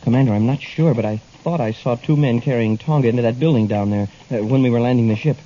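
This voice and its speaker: A man's voice: medium pitch, fast, dark tone, lightly acted. A man acting an eager, inquisitive young space cadet sidekick in a 1950s radio adventure. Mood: curious, eager. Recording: dull, hissy old radio recording.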